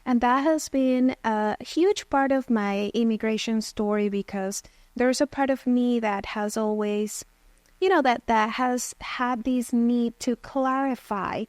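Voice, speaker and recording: A woman from Venezuela in her thirties speaks as a guest, recounting her own story with reflective pauses and fillers. Her voice sits fairly high. The recording is close and dry. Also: a little mellow, no room sound. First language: Spanish. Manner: thoughtful, frequent "um" and "you know". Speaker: therapist guest.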